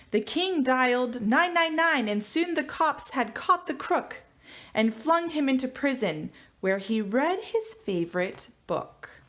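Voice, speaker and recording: A woman teacher giving a storybook read-aloud for children, with expressive delivery. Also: sits high. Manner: expressive, animated reading. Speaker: elementary school teacher.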